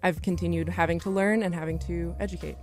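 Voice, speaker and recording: Young female student guest, somewhat low voice, reflective and articulate conversational storytelling. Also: steady tone, quick pace, a little mellow, soft background music. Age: young adult.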